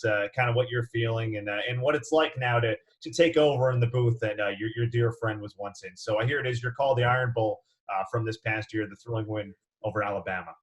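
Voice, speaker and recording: Halting, mid-pitched male interviewer. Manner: conversational, halting and searching for words. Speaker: interviewer, host. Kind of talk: casual interview questioning.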